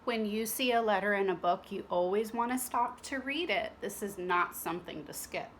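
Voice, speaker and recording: A woman's voice: medium pitch, normal speed, slight background noise. A woman narrating a children's storybook expressively, with teacherly asides to young listeners. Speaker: storybook reader.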